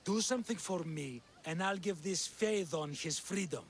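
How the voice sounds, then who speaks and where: Brief: male actor as a dismissive cult-backed slave owner, sneering, slightly high voice, faint noise behind. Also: normal speed, natural voice. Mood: scornful. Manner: scornful, dismissive. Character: callous male slave master, cult member.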